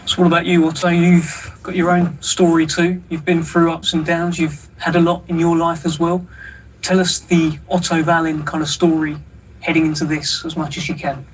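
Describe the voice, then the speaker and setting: A man's voice: a little high, monotone, moderate pace. A man interviewing boxers, asking probing, direct questions. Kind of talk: sports interview. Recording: distant mic with faint noise.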